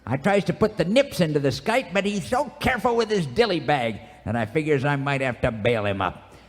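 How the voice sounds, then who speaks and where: An actor playing a cantankerous, boastful old cowboy sidekick, spinning folksy, rambling tales in a live old-time radio comedy. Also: male voice, a little high, lively intonation, a little fast, lightly acted. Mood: boastful, reminiscing. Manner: folksy, rambling, theatrical storytelling. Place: live radio theater stage. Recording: echoey theater stage, music behind.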